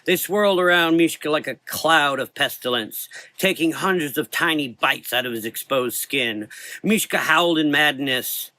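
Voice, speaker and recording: A man's voice: on the high side, moderate pace, sharp tone. A man narrating a gothic horror story in a dramatic, scripted storytelling style.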